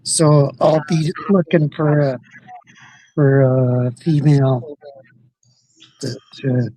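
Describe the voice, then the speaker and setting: A man from Canada with a somewhat high voice takes his time as he chats on a livestream. He speaks slowly and haltingly, often repeating a word before moving on. The recording is close and dry. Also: fairly even intonation, mellow, dry recording, rough recording. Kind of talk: casual chat.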